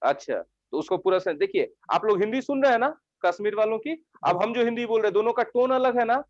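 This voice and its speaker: High-voiced male teacher, online class. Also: expressive, brisk, dry recording. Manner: repeats his own questions and keeps asking the class to answer yes or no. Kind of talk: online English vocabulary lesson taught in Hindi. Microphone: online class microphone. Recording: dull, dry online lecture audio.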